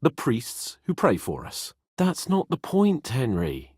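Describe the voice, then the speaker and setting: A haughty young British nobleman for a medieval video game. His delivery swings widely in pitch, sarcastic and exasperated, with a slightly husky edge and a dry, close sound. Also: huge pitch swings, slow, a little mellow, dry recording, full character voice. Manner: pompous, sardonic, exasperated.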